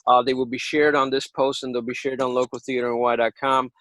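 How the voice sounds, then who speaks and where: A man's voice: medium pitch, level tone, quick pace, fairly bright tone, no echo. A man hosting a casual interview, talking in an encouraging, complimentary way. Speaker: interview host.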